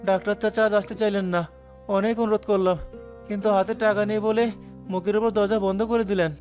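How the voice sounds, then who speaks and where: Man playing earnest, high-voiced village son. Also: little pitch movement, fast, a little mellow, faint music behind, close to an everyday voice. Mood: worried. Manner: earnest, pleading. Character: devoted, determined poor young village son. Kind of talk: cartoon drama dialogue.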